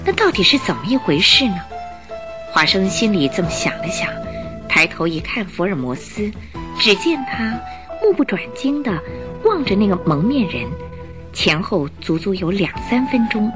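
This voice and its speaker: Animated woman storyteller narrating a radio drama. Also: mid-range voice, normal speed, music in the background. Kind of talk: expressive scripted storytelling narration.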